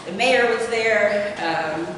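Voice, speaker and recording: A woman's voice: medium pitch, slow, a bit bright, distant mic. A young woman with an Appalachian accent giving an informal academic presentation in a lecture room, with plenty of filler hesitations. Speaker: doctoral student presenting research. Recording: echoey hall taken from afar with noise.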